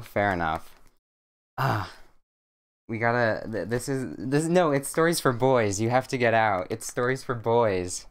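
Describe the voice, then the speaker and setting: A young man streaming, chatting casually and reading a bedtime story aloud in a deadpan, monotone way with dry jokes. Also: on the low side, smooth. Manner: self-described monotone, deadpan and joking.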